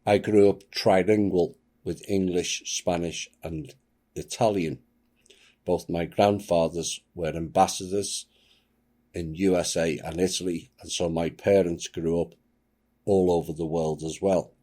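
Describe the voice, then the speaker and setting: Deep, slow, grave-voiced man. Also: fairly even intonation, mellow. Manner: grave, measured delivery. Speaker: channel host. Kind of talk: solemn reading of a submitted account.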